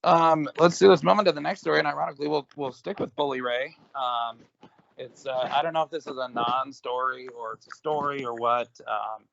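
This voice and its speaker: A hoarse, raspy man co-hosts a casual wrestling podcast, rambling with frequent ums and restarts. His voice is gravelly and mid-pitched. The audio is dry and a bit dull. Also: steady tone, a little fast, fairly bright tone, no room sound. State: hoarse from smoking. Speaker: podcast co-host.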